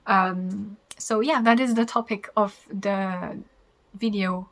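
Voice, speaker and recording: A woman's voice: mid-range voice, fairly even intonation, slow pace. A woman in her thirties with a French accent, a native French speaker, hosting a knitting podcast in a chatty, rambling way and laughing easily. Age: 30s. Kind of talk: casual chat to camera.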